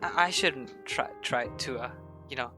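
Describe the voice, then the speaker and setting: A woman voicing an awkward but caring young man in an audio drama, with a halting, emotive delivery. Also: rumbling low, music in the background. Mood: flustered, apologetic. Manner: halting, stammering.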